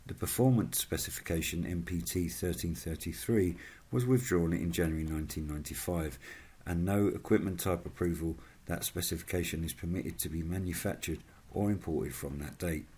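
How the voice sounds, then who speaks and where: A man with a husky voice reading scripted informational narration in a formal manner, as a video presenter. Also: low-pitched, moderate pace, dark tone, a little hiss or hum.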